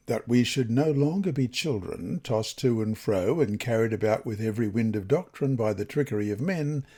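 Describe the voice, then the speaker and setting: A man reads a scripted religious lesson in a deliberate, expressive manner with measured phrasing. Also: mid-range voice, husky. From Australia. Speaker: reader of Bible study lessons.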